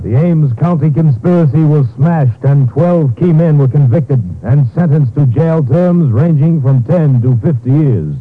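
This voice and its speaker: Formal radio crime narrator, tinny sound. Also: male voice, fairly high pitch, measured pace, few pauses. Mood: solemn. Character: authoritative male epilogue narrator of crime case. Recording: tinny old radio recording, music behind.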